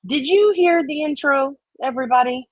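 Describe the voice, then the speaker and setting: A woman's voice: sits high, moderate pace, no room sound. A woman hosting a livestream with casual chat, joking and swearing in an informal way. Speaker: livestream host.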